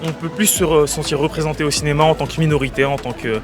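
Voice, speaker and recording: Man, fast talker, fairly high voice, thoughtful, music clearly behind. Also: fairly even intonation. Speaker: festival-goer. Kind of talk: street interview answers. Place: outdoors in a city.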